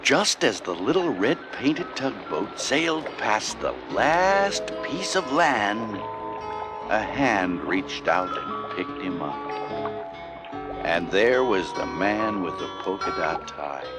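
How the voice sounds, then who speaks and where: A man narrating a scripted children's story, with expressive delivery and deliberate phrasing. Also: deep voice. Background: loud music.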